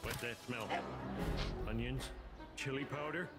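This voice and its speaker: A man voicing a dim, excitable middle-aged cartoon everyman with a thick, goofy, dopey voice, given to exclamations. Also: mid-range voice, measured pace, natural voice. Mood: dopey excitement. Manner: goofy, exclamatory, swinging from mumbling to yelping. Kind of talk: animated comedy acting. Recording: loud music behind the voice.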